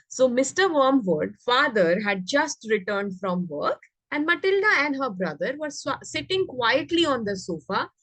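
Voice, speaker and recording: A woman's voice: mid-range voice, fairly bright tone, dry recording, rough recording. A woman with an Indian accent teaching an interactive online English lesson to young children, prompting them with repeated questions. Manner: instructive, repetitive questioning, prompting. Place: online video class.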